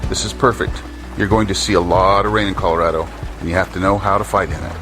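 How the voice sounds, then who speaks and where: Male actor as tough drill sergeant, stern, clipped, lowish voice over loud music, audio drama. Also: fairly even intonation, fast talker, close to an everyday voice. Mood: instructing. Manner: commanding, clipped.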